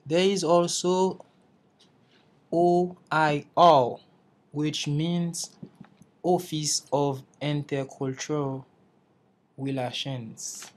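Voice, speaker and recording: A man's voice: fairly high pitch, fairly even intonation, extremely slow, long pauses. A young man from Haiti, an English learner, giving a careful class presentation over slides and restarting phrases as he searches for words. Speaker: English language student presenting.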